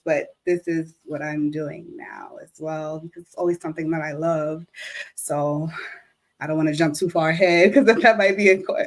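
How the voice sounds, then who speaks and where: A woman in her thirties from New York, a guest artist talking casually and modestly, laughing often. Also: deep voice. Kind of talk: casual interview.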